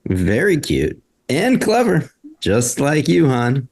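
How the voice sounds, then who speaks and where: An agreeable, affectionate husband, played by a man in a table read. His mid-pitched voice moves up and down a lot as he gives encouragement. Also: huge pitch swings, slow pace, heavily acted. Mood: warm admiration. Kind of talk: script table read acting.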